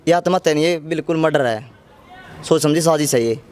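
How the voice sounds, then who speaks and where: A man's voice: on the high side, fairly quick, a little mellow, a little hiss or hum. A man with a Haryanvi accent giving spontaneous testimony as an interviewee.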